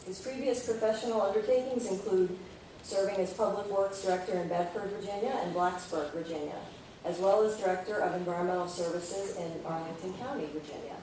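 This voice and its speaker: Woman moderator, mellow tone, formal measured delivery, heard from afar in a very echoey room. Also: medium pitch, fairly even intonation, a little hiss or hum. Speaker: forum moderator. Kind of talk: reading a speaker introduction. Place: university lecture room. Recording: very echoey room, voice far from mic.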